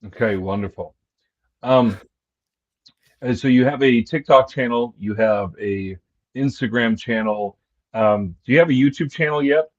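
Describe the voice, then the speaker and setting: A man's voice: mid-range voice, measured pace. A man hosting a live-stream interview, who asks friendly, easygoing questions with fillers. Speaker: interview host. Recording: dull, lo-fi live-stream audio.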